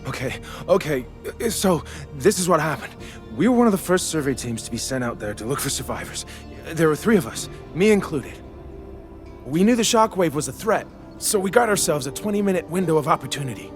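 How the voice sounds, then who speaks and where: A man's voice: on the high side, big pitch swings, a little fast. An actor playing an eager, anxious young male survey crew member in a video game. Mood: earnest. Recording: faint music behind the voice.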